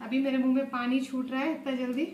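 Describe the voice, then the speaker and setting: Woman in her thirties, high voice held in a narrow band, home kitchen with faint room noise behind. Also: little pitch movement, quick pace, darkish tone. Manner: chatty, repeats "look" and blessings often. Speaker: cooking channel host. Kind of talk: home cooking tutorial.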